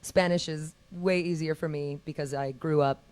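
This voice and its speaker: A woman's voice: deep voice, steady tone, moderate pace, darkish tone, clear, resonant tone. A woman musician chatting casually in a TV studio interview, easygoing and sprinkling in French words. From Texas, USA. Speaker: musician guest.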